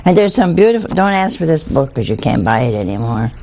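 An older woman, a nun, giving an informal spiritual talk in a hoarse voice, chatty and humorous. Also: a bass voice, very animated, quick pace, mellow, a little hiss or hum. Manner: chatty, humorous, laughs at own stories.

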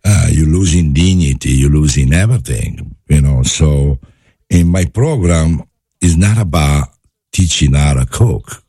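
Deep-voiced Italian-accented man. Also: mellow, breathy edge, no echo, clean studio sound. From Italy. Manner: halting, many fillers and restarts. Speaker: guest chef. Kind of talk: interview conversation. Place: studio.

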